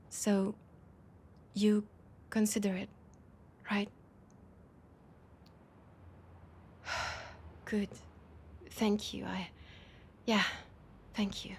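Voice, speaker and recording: A woman playing a proud but desperate vampire leader in an intimate audio drama, with a French accent and a halting, breathy delivery that slips toward whispers. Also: mid-range voice, flat delivery, darkish tone, a little hiss or hum. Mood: grateful, hesitant. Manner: hesitant, halting, breathy asides dropping into whispers.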